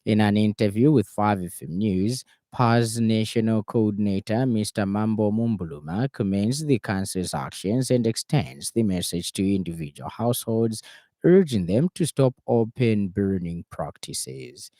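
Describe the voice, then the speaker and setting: A man with a Zambian accent reading a scripted radio news bulletin in a formal, measured manner. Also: fairly low pitch, animated, dark tone, dry recording, studio quality. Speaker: news reader. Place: radio studio.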